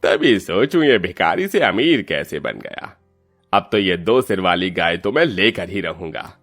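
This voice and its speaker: A man's voice: low-pitched, big pitch swings, a little slow, fairly bright tone, faint music behind, heavily acted. A man voicing a greedy, scheming village man in a cartoon story, with sly, suspicious muttering.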